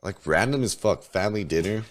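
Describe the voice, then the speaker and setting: A hoarse man in his twenties from New Mexico, a podcast guest telling candid, profane stories in heavy slang. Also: fairly low pitch, expressive, fairly quick. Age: 20s. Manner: laid-back, profane, storytelling, heavy slang. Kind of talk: casual candid interview chat.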